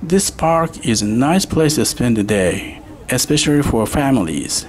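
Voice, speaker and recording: A man with an average-pitched voice narrates in the manner of a travel guide. He presents as a vlog host, and there is some light ambient noise behind his voice. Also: expressive, measured pace, a little mellow.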